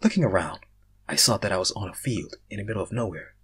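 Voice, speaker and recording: A young man reading fan fiction aloud, casual in his chat and theatrical in his narration, swinging between hushed and loud. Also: on the low side, animated, quick pace, a little hiss or hum. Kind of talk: casual intro and dramatic story narration.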